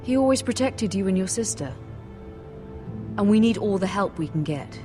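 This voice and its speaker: A woman playing a dignified middle-aged noble mother who speaks in a calm, authoritative way. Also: mid-range voice, moderate pace, music in the background, close to an everyday voice. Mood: persuasive.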